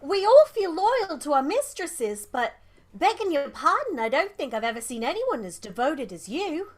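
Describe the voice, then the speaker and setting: A woman acts a young servant girl in a radio play, high-pitched and very animated. She is loyal and deferential, chatting in a plain, colloquial servant's way. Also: normal speed. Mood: curious, deferential. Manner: colloquial, ungrammatical servant speech. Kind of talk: audio drama acting.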